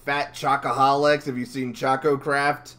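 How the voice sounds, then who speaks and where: A man's voice: mid-range voice, little pitch movement, unhurried, a bit bright. A man hosting a casual interview, talking in a rambling, enthusiastic fan's way. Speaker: interviewer and channel host.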